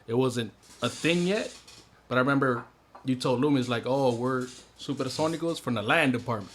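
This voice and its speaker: A man hosting a casual podcast interview, asking questions in a relaxed, conversational way. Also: mid-range voice, fairly quick.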